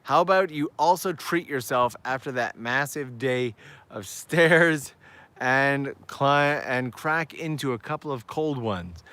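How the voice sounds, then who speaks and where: A man hosting a live travel stream outdoors, chatting upbeat to viewers while walking, his voice going hoarse. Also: mid-range voice, expressive, slow pace, sharp tone, dry recording. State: losing his voice. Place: outdoors on town backstreets at evening.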